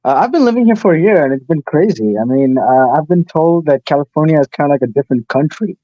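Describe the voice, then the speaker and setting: A man's voice: fairly high pitch, expressive, fast talker, warm tone, no echo, highs cut off. Hesitant young man on a call. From Gulf and India. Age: 20s. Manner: hesitant, repeats words and restarts often. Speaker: caller. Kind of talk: casual chat.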